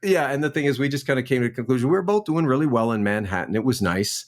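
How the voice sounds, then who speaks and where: A man's voice: mid-range voice, animated, very fast. Easygoing guy from new orleans, forties, tells his story with lots of "man" and "you know". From New Orleans, Louisiana. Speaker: guest actor. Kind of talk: casual interview chat.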